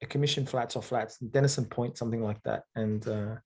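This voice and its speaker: A man from the UK, speaking thoughtfully in a conversational interview, often pausing with fillers and checking in with "right?". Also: medium pitch, fairly even intonation, darkish tone. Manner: thoughtful, hesitant, with frequent fillers and rhetorical "right?". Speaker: author and guest.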